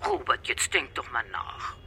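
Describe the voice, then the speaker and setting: An actress playing a bossy, unflappable older mother with a brisk, commanding manner in a radio crime drama. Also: background noise, close to an everyday voice.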